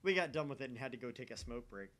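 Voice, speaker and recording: A man's voice: medium pitch, lively intonation, very fast, a bit bright. A man hosting a gaming playthrough, chatting casually and informally. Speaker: gaming channel host reading a visual novel aloud.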